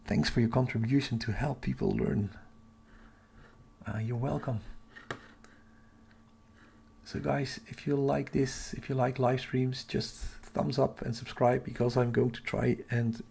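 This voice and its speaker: Hushed, creaky-voiced man livestreaming. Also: fairly even intonation, measured pace, pauses often. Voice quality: hushed, half-whispered. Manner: keeping voice low for sleeping household. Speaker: astronomy livestream host. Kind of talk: casual live chat with viewers.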